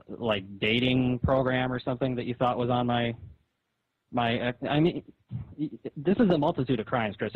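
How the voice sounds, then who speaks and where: A man arguing on a phone call in a halting, repetitive, reproachful way, as a comic artist. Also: mid-range voice. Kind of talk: argumentative phone conversation. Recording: dull roomy phone call recording.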